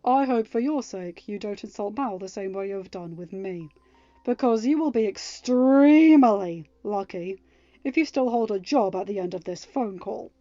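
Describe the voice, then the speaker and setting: A woman's voice: medium pitch, lively intonation, normal speed, mellow, dry recording, highs cut off, faint music behind, close to an everyday voice. A woman voicing a devoted young royal man, fiercely protective and emphatic, in a storybook reading. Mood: cold, growling. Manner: emphatic, stern, near a growl when angry.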